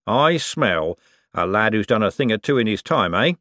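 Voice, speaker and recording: A man voicing a gruff, rich businessman, who talks slowly and boastfully. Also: fairly low pitch, lively intonation, a bit bright, no room sound, studio quality. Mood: sly. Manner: boastful, blunt, slow and emphatic. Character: gruff rich working-class male businessman.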